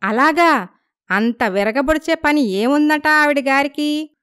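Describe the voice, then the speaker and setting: A woman voicing a friendly, flattering village sister-in-law who gossips, in a moral story. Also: high-pitched, slow pace, dry recording, clean studio sound, pitched above the performer's own voice. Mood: caring, disdainful.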